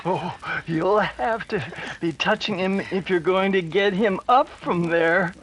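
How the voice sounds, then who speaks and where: A woman's voice: on the low side, unhurried, lightly acted, rough recording. A woman playing a dour, superstitious local of a haunted house in a 1930s-style radio drama, folksy and ominous. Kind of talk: 1930s radio mystery acting.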